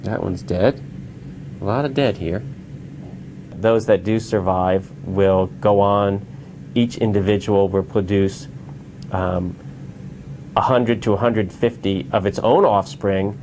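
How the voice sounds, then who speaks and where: Low-voiced researcher explaining his work. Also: a little mellow, slight background noise, poor audio. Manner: unhurried, explanatory, with filler pauses.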